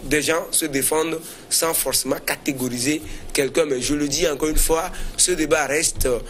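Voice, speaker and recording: A man's voice: on the high side, steady tone, normal speed, a bit bright, a little hiss or hum. A man giving spontaneous commentary as a debate panelist, often hesitating and repeating words as he builds his argument. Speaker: panelist on a current affairs debate. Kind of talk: spontaneous political commentary in a discussion.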